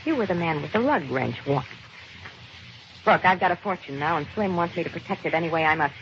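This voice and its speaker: A woman's voice: on the low side, animated, a little fast, natural voice. An American actress playing a greedy, cold-blooded gangster's girlfriend in an old radio crime drama, brash, slangy and sardonic. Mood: calculating. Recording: dull old radio recording, faint noise.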